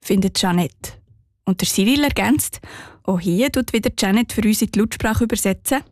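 A woman's voice: mid-range voice, moderate pace, darkish tone, no room sound. Swiss German woman reporter, dry studio narration. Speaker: radio reporter.

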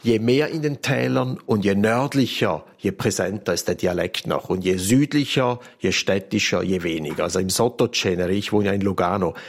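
Gravelly low-voiced male correspondent. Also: moderate pace, hardly any pauses. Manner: explanatory, thinks aloud with fillers. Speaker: regional correspondent. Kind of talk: radio interview, explaining.

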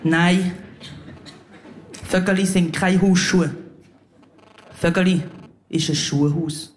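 A woman's voice: steady tone, very slow, noisy background. A woman with a Swiss German accent performing slam poetry live on stage, playful and punning, her voice leaping up and down. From Switzerland. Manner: playful, word-punning, swooping up and down in pitch. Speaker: slam poet. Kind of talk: live spoken-word performance. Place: stage before a live audience. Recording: roomy live stage sound.

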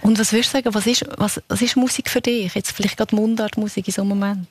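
A woman's voice: mid-range voice, fairly even intonation, fast talker, slightly rough. A woman with a Swiss German accent hosting an interview, asking questions in a conversational, inquisitive way. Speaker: interviewer, show host. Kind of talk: interviewing a guest.

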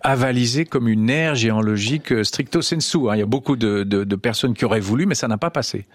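A man hosting a radio interview, playful and quick to laugh with his guest. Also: medium pitch, no room sound. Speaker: radio host.

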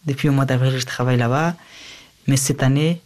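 A man's voice: medium pitch, steady tone, a little fast, darkish tone. Young man recounting his life, non-native French. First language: a language other than French. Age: young adult. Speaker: interviewee. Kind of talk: personal testimony in an interview.

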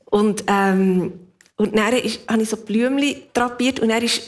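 Swiss German woman in her fifties, relaxed storyteller with frequent laughs. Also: on the high side, a little slow. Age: 50s. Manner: laughs often, reflective storytelling. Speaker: guest. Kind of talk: casual conversation.

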